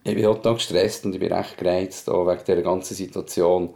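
A man's voice: low voice, fairly even intonation, a little fast, vocal fry, dark tone. A man with a Swiss German accent talking casually and frankly in colloquial words, as a podcast host. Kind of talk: casual personal conversation.

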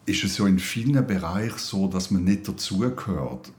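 A man from Switzerland speaks in a very low voice. He reminisces casually, as an interviewer in an easy conversation. Plates and cutlery clatter clearly around him. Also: animated, normal speed, darkish tone, a little hiss or hum. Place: at a table with food being served.

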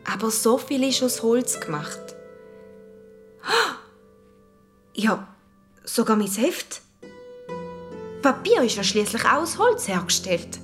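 Slow-paced young Swiss schoolchild, musing. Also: female voice, fairly high pitch, big pitch swings, soft background music. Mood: wondering. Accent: Swiss German. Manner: musing, childlike, thinking aloud. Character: earnest, imaginative schoolchild narrating their forest adventure. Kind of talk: first-person radio play narration for children.

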